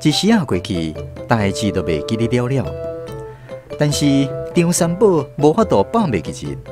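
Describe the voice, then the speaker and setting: A man with a Taiwanese accent narrating a scripted radio drama as an expressive storyteller. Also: mid-range voice, normal speed, music in the background.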